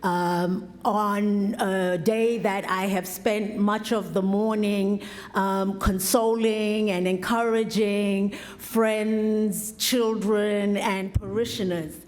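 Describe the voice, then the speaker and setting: A woman priest from South Africa giving a reflective address, telling stories in a slow, thoughtful way. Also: mid-range voice, steady tone, a little mellow. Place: large hall. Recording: roomy hall reverb.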